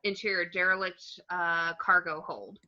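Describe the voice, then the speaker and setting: A woman reading screenplay action descriptions as narration, in a plain, measured way. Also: on the low side, steady tone, bright, forceful tone. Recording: slightly dull home microphone.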